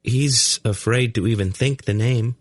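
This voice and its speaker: A man voicing an uncanny young boy in a storybook, explaining things calmly and matter-of-factly. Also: medium pitch, unhurried, no room sound, studio quality, pitched above the performer's own voice, natural voice. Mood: calm, knowing. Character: calm, uncanny young blond boy who reads minds.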